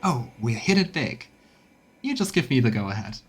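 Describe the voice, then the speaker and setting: A man's voice: mid-range voice, sing-song, normal speed, natural voice. A man voicing an eager, blunt, scheming one-eyed quartermaster in a fantasy game, with folksy, salesmanlike talk. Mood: gleeful scheming.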